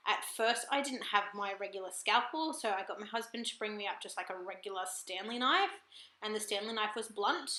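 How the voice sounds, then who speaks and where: An Australian woman with a croaky, husky throat, chatting casually and apologetically in a voiceover for her planner vlog at home. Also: mid-range voice, bright, forceful tone, echoey room, low-quality recording. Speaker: planner vlogger.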